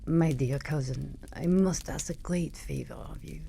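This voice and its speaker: A woman's voice: deep voice, a little slow, vocal fry, warm tone, a little hiss or hum. An actress playing a genteel, earnest Frenchwoman in a radio drama, with a formal and hesitant manner. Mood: anxious pleading.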